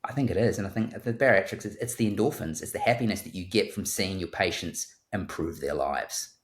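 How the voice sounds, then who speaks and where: A man's voice: sits low, a little fast. A man with a New Zealand accent, a guest surgeon speaking in a thoughtful conversational interview and often repeating words as he starts a sentence. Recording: roomy echo.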